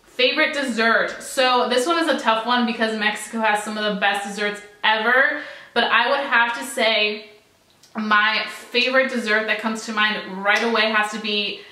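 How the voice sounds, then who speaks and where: Young woman, upbeat chatty vlogger, bright slightly high voice, echoey room. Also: fairly even intonation, normal speed. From United States. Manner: chatty and upbeat, heavy use of "like" and "so". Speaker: beauty vlogger. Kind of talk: casual vlog talk to camera.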